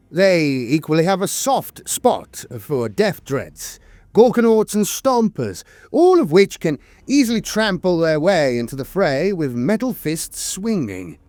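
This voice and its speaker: Animated man narrating, dry close sound. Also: fairly high pitch, no room sound. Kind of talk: scripted lore narration.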